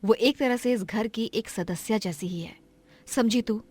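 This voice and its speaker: A woman voicing a kind, generous lady of the house in a cartoon moral story, chatty and gently chiding. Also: a little high, a little slow.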